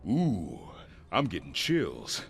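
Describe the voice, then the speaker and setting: An actor playing a brave, wisecracking creature built of relics, with a dry, cocky delivery in a video game. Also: male voice, sits low, huge pitch swings, takes it slowly, creaky, husky, soft background music, full character voice. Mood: playful. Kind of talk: video game cutscene acting.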